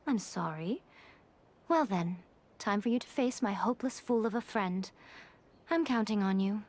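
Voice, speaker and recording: A woman voicing a sly, elegant fox shrine priestess in an anime game, speaking in a silky, coy, measured and teasing manner. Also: mid-range voice, a little hiss or hum, natural voice. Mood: wry. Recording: music behind the voice.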